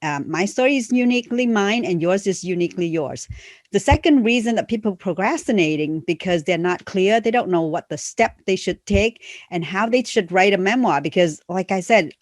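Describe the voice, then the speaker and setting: A woman with a Chinese dialect as her first language speaks in earnest, non-native English, often pausing on fillers. Her voice sits at a middling pitch and sounds close and dry, with no room around it. She talks casually, like a coach chatting about her work. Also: lively intonation, darkish tone, dry recording. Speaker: memoir coach and author. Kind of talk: casual conversational promotion.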